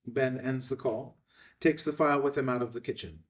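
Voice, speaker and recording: A man reading screenplay stage directions as narrator in terse, measured, declarative phrases at a table read. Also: mid-range voice, far from the mic.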